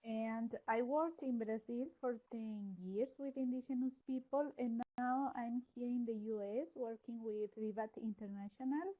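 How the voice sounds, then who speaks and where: Lady, kinda high voice, earnest and halting, video call. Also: steady tone, dark tone, low-quality recording. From Mexico. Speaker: religious sister. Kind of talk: panel self-introduction.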